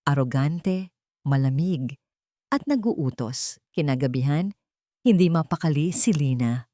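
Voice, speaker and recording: A woman's voice: deep voice, expressive, a little slow, warm tone, no echo, clean studio sound. A woman narrating a scripted romantic drama in a solemn, dramatic storytelling manner.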